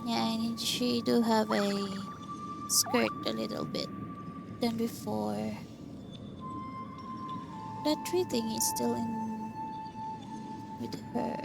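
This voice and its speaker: A woman's voice: fairly high pitch, very animated, slow, creaky, pauses often. A woman giving casual, informal playthrough commentary as she plays a game, remarking mostly to herself. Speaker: gaming streamer. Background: game music.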